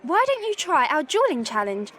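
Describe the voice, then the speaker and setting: A woman's voice: high-pitched, sing-song, normal speed, a bit bright, slight background noise. A woman playing a keen, friendly schoolgirl club captain in a video game, talking in an instructional way.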